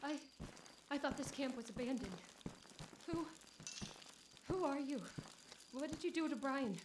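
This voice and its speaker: High-voiced young woman, earnest drama heroine. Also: unhurried, noisy background. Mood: frightened, pleading. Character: earnest young woman, self-described witch, anxious but pleading. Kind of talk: naturalistic audio drama acting. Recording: music behind the voice.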